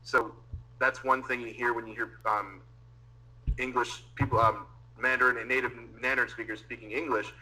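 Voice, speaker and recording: Man with a raspy, sharp voice who explains at length in a halting way with fillers. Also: medium pitch, little pitch movement, rough recording. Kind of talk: casual online discussion.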